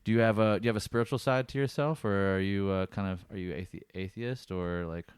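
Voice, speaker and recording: Low smooth-voiced male podcast host. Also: fairly quick, dry recording, studio quality. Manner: friendly, encouraging, asks rambling questions. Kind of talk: casual interview chat.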